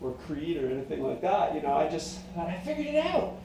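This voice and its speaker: A middle-aged man with a high tenor voice and a mellow tone wanders through his thoughts, pausing and restarting often. His voice rings in a very echoey room and sits away from the mic. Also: big pitch swings, gravelly, far from the mic, slight background noise. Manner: meandering, reflective, many fillers and restarts. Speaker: singer-songwriter. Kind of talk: rambling casual monologue.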